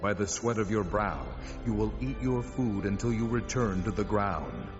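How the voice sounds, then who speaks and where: A male actor plays a stern divine judge in a Bible audio drama. His low voice is measured and authoritative, drenched in long reverb with music swelling behind. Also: lively intonation, lo-fi sound, close to an everyday voice. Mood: grave, condemning. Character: stern, commanding male God passing judgment.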